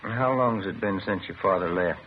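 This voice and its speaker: An actor playing a steady, methodical police detective in a 1950s radio drama, matter-of-fact and understated. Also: male voice, deep voice, a little fast, lightly acted. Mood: gently probing. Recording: muffled, noisy old radio recording.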